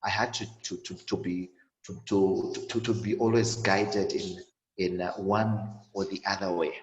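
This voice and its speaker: A young man in his twenties, from sub-Saharan Africa, tells his own story on an online panel. His voice sits very low, and he talks haltingly with many repeats and fillers. His call comes from an echoey room. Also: steady tone, dull audio. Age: 20s. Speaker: panel guest.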